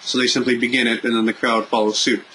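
A young Canadian man with a Canadian accent, vlogging casually from a room at home into a webcam, animated and gesturing, pausing with fillers. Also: mid-range voice, a little hiss or hum. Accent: Canadian English. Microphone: webcam at desk.

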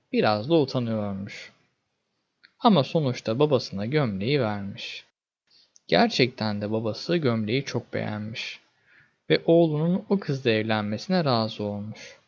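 Male storyteller for fairy-tale narration, expressive and dramatic, very animated pitch, mid register. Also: highs cut off.

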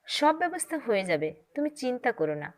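A woman voicing a calm, generous wife who speaks in a gentle, persuasive way in an audio story. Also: fairly high pitch, a little fast. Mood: light, reassuring.

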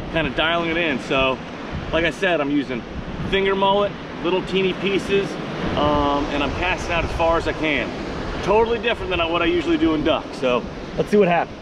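A man in his thirties giving casual, folksy fishing vlog commentary outdoors. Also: a little high. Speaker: fishing vlogger. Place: outdoors on an open, windy ocean beach. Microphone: camera on the sand, a few steps away or farther. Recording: distant mic, loud surf and wind. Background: ocean waves and wind.

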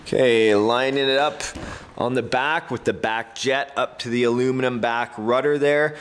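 A man's voice: medium pitch, fairly even intonation, normal speed, a little hiss or hum. A man hosting a casual unboxing video, chatty and full of fillers, laughing at his own slips. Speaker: hobby video host. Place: workshop studio with tool cabinets. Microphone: handheld camera mic, host mostly off screen.

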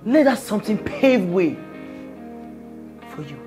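A man playing a pushy, excitable casting director who repeats himself. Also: high-pitched, measured pace, music in the background. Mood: pushy, insinuating. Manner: exclamatory, pushy, repetitive.

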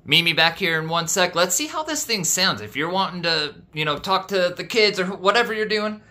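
Male product reviewer, slightly high voice, informal and unscripted, chatting through an unboxing. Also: animated, brisk, sharp tone. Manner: informal, slangy, off-the-cuff. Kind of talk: casual unboxing commentary. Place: small indoor room with shelves of speakers.

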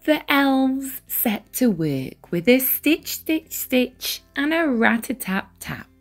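A woman reading a children's storybook aloud as storyteller, with deliberate, dramatic emphasis on key words. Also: high-pitched, very animated, soft background music. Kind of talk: expressive read-aloud storybook narration.

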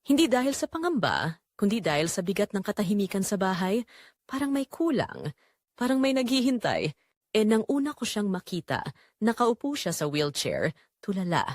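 A woman's voice: medium pitch, big pitch swings, normal speed, darkish tone, dry recording, clean studio sound. A woman with a Filipino accent narrating a scripted first-person drama as an earnest young caregiver confessing her inner turmoil.

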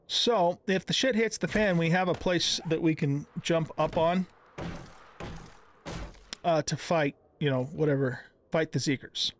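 A man's voice: fairly high pitch, a little slow, slight background noise. A middle-aged man giving casual gameplay commentary, thinking aloud in a conversational way. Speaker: gaming video host.

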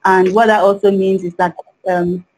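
A woman's voice: medium pitch, little pitch movement, normal speed, darkish tone, rough recording. A woman in her thirties, a panelist on an online video call over a headset, speaking earnestly and often saying "you know".

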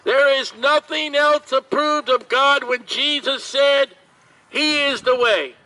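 A man preaching in the open air at a street market, with slow, drawn-out delivery, heard from a camera across the street. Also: very high voice, pushed and bright, rough recording.

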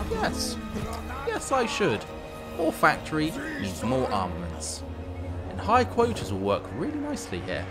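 Male gameplay commentator, higher-pitched and lively, with a tongue-in-cheek delivery. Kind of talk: playful strategy game commentary, partly scripted narration. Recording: voice-over with background music. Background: music.

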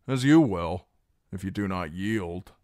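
A man's voice: lowish voice, measured pace, dry recording, studio quality, voice pushed up, natural voice. A man voicing a broken, terrified young male captive who carries a message and pleads urgently with a hostile crowd.

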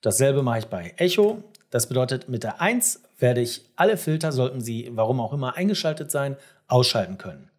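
A middle-aged man presenting a step-by-step software tutorial, explaining things directly to the viewer. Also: medium pitch, big pitch swings, fairly quick.

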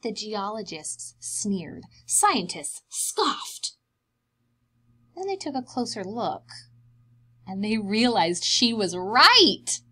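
A woman's voice: mid-range voice, big pitch swings, slow pace, bright, forceful tone, breathy edge. A young adult woman reading a picture book aloud as a preschool teacher, explaining things simply to young children.

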